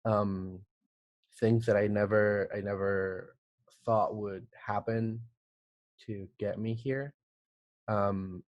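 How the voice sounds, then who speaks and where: Slow, low-voiced man from El Salvador. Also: a little mellow, no room sound. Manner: halting, thoughtful, many pauses and restarts. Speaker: guest, art director. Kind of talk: casual podcast interview.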